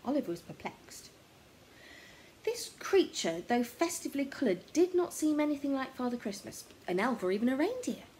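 A woman reading a children's story as narrator, expressive and dramatic, sometimes dropping to a hush. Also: sits high, moderate pace, slight background noise. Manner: expressive, dramatic, hushed at times. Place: room at home, indoors.

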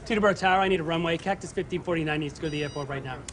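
Man playing a rapid, urgent American air controller. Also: on the high side, crowd noise, lightly acted. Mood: urgent, tense. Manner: terse, urgent. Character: urgent, anxious male air traffic controller. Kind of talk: film acting. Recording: noisy film control room.

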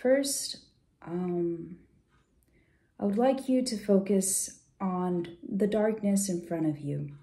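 A woman leading a guided visualization in a soft, gentle, soothing way, unhurried with pauses and at times breathy. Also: medium pitch. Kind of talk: guided meditation, soft slow instruction.

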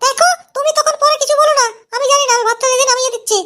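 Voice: very high-pitched, steady tone, rapid-fire, pushed and bright. A performer voicing a young married cartoon woman with an emphatic, coaxing manner in an exaggerated comic style. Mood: nagging. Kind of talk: exaggerated comedy cartoon voice acting.

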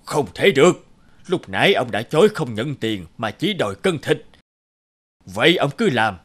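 A man voicing a stern, just mandarin in an animated folk tale, speaking with authority. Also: medium pitch, moderate pace. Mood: commanding. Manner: authoritative, commanding. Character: stern, just male mandarin official judging villagers.

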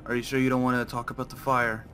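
Man playing nosy schoolmate, rapid, sharp bright voice, terse, probing, insistent, game roleplay. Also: medium pitch, level tone, faint music behind, natural voice. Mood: prying. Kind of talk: scripted Minecraft roleplay.

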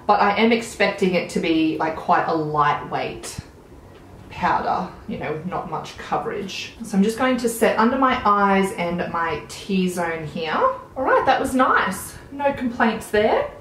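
A woman's voice: mid-range voice, sing-song, unhurried, a bit bright. Aussie woman in her 30s doing a makeup tutorial, chatty and keen, bit of room echo and faint hum. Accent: Australian. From Australia. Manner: chatty, enthusiastic product commentary while applying makeup. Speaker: beauty vlogger. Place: small room at home, indoors.

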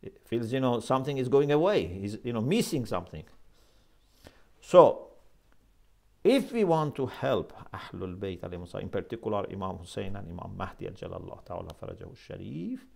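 Man, middle-aged, earnest lecturing, gravelly, lapel mic in a small office. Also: on the low side, animated, fairly quick, a little mellow. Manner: earnest, emphatic, gestures with his hands. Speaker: Islamic scholar lecturing. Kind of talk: religious lecture.

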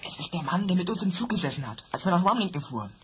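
A woman's voice: lowish voice, rapid-fire, slight background noise. An eager young boy detective. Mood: excited. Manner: excited, urgent.

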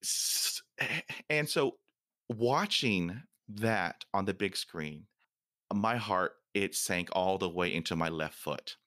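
A man's voice: deep voice, takes it slowly, sharp tone, dry recording, studio quality. A man who stutters, hosting a podcast interview and telling stories animatedly with laughter. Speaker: podcast host.

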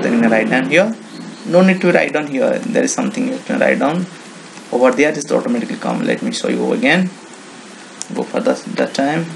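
Indian-accented man with a creaky voice. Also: mid-range voice, a little hiss or hum, low-quality recording. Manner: repetitive step-by-step explaining in simple phrases. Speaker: software tutorial presenter. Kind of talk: informal screen-recording walkthrough.

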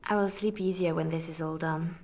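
A woman's voice: low-pitched, steady tone, fairly quick, a little mellow. Earnest, anxious young woman spy. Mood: earnest, uneasy. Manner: earnest, pleading, hesitant. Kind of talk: video game voice acting.

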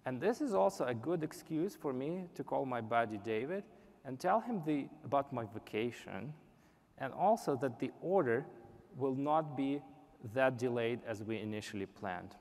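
A man's voice: mid-range voice, lively intonation, moderate pace, a little mellow. A young man giving a live product demo on a conference stage, explaining earnestly with some restarts. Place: large indoor conference stage. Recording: reverberant conference hall.

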